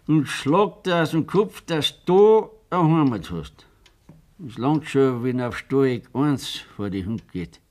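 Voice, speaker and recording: Radio play: hard, curt Bavarian countryman, mid-pitched, commanding and unforgiving. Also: expressive, takes it slowly, a little mellow. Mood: stern, unforgiving. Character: stern, unforgiving rural man laying down the law. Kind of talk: German dialect radio drama acting.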